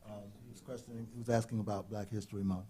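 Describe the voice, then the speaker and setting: A man's voice: lowish voice, fairly even intonation, rapid-fire, mellow, a little hiss or hum. A man giving a town manager's report at a board meeting, hesitating with frequent ums. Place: council chamber.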